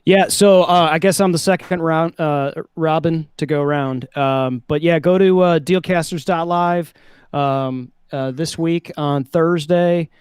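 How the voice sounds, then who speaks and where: A man's voice: on the high side, fairly even intonation, a little slow, clear, resonant tone, no room sound, clean studio sound. Southern-accented man, rambly show plug. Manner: rambling, many fillers. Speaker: co-host of a livestream show. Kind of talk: casual livestream chat.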